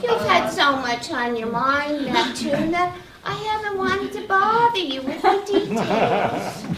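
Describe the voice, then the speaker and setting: A scheming, gleeful goddess of the sea played by a woman on stage, very high and swooping in pitch. Her delivery is coy and theatrical and extremely unhurried. She is heard from a distance in an echoey playhouse. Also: very animated, a loud crowd, exaggerated voice. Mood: sly. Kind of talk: live stage comedy acting. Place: small playhouse stage, live performance. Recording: distant echoey theater recording, faint noise.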